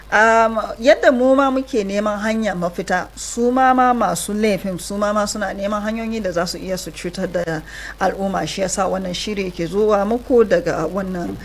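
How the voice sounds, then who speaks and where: A woman radio host with a Nigerian accent presents an interview show in two languages. Her voice sits at a medium pitch as she introduces and questions her guest. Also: fairly quick, hardly any pauses. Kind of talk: bilingual radio interview hosting.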